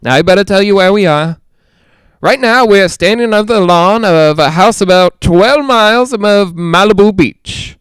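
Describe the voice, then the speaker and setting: A man's voice: sits high, a little slow, a bit bright, clear, resonant tone, no echo. A man playing a chatty, skeptical radio ghost hunter in a 1940s-style audio drama, with folksy joking patter. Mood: chatty showman.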